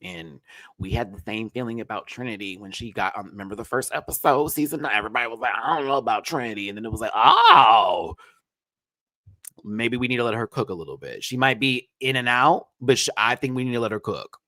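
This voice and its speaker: A man giving an animated, sassy live review of a drag competition show, with big swings in pitch. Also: brisk, no room sound.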